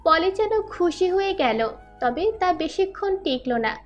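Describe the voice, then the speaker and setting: Female narrator for scripted dramatic fiction, high register, faint music bed. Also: expressive, measured pace, muffled recording. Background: faint background music.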